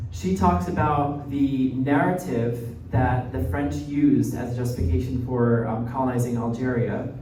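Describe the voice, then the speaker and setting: A man's voice: mid-range voice, mellow. A young American man from New Jersey giving an informal academic talk as a guest speaker, conversational and often checking in with the listeners. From New Jersey, United States. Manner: conversational, often tags points with "right?". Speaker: guest lecturer, graduate student. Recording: muffled, echoey room, distant mic, faint noise.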